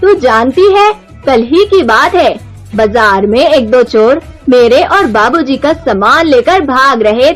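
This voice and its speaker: A woman's voice: sits high, exaggerated voice. A woman voicing a chatty, insistent middle-aged society lady, emphatic and gushing, in a melodramatic cartoon moral story.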